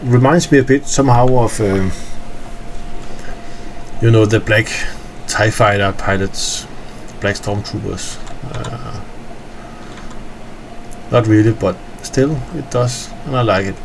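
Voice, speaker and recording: Tired Danish man with a gravelly voice. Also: fairly low pitch, slow, pauses often, noisy background. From Denmark. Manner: rambling, hesitant, with frequent fillers. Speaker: gamer touring his in-game hangar. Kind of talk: casual game walkthrough commentary.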